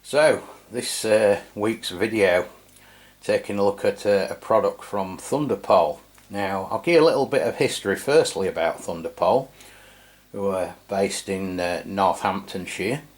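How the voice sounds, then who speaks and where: A man's voice: fairly low pitch, normal speed. A middle-aged man with an English accent, giving a casual product review in a hesitant, rambling, matter-of-fact way. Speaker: radio review channel host.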